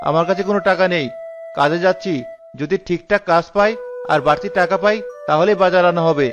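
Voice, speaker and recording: A man playing a stingy, grumbling newlywed husband in a cartoon, blunt and quick to scold. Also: high-pitched, natural voice. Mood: irritated. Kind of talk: cartoon dialogue acting. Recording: dull lo-fi sound with music behind.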